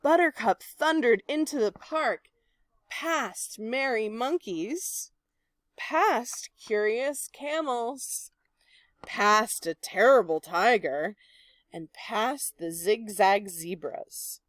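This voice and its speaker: A woman reading a children's picture book aloud in an expressive, deliberate storytelling manner. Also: fairly high pitch, no room sound. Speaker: storybook reader.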